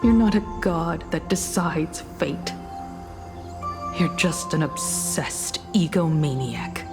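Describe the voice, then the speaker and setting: An actress playing a solemn, defiant woman in a video game, speaking in a measured, grave, accusing way. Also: low voice, lively intonation, big-room sound, music in the background, full character voice. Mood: cold, accusing.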